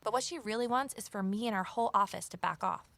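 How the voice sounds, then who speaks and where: An actress playing a wary, determined amateur sleuth in a naturalistic audio drama, conversational and gently wry. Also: female voice, medium pitch, fast talker, creaky, dry recording. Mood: earnest, concerned. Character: Evelyn, wary determined former journalist turned amateur sleuth. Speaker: lead character and first-person narrator.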